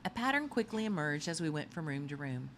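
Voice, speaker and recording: A woman with a low voice narrates a children's novel. Her storytelling is expressive and swings widely in pitch. A little noise sits behind the recording. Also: brisk. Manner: expressive storytelling with acted dialogue. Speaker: audiobook reader. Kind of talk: scripted narration of a children's novel, read aloud.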